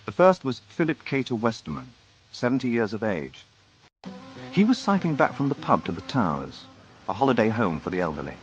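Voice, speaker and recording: A man acting as the narrator of a radio murder mystery, measured and dryly ironic. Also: mid-range voice, very animated, lo-fi sound, noisy background, lightly acted. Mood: dry, grave. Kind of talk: scripted radio drama narration.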